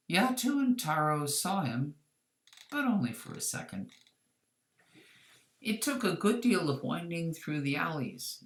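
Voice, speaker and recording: A woman's voice: sits low, roomy sound. A woman reading a scripted story as its author, with dramatic delivery. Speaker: author reading her own short story.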